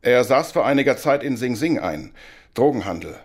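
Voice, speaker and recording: A man's voice: medium pitch, animated, moderate pace, husky. A man acting a dutiful police subordinate in a German radio crime drama, reporting formally and matter-of-factly.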